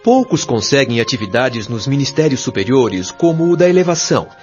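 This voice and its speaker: A man's voice: medium pitch, a little mellow, lightly acted. A Brazilian man playing a patient, authoritative spirit guide in an audio drama, explaining things in a solemn, articulate, didactic way. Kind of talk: audio drama acting, expository dialogue. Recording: dull lo-fi audio over music.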